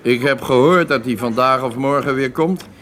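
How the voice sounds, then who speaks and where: An actor playing a terse, matter-of-fact confederate in a covert café conversation in a radio play. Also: male voice, medium pitch, normal speed, fairly bright tone, lightly acted. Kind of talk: radio drama dialogue. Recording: radio play with busy chatter behind. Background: café chatter.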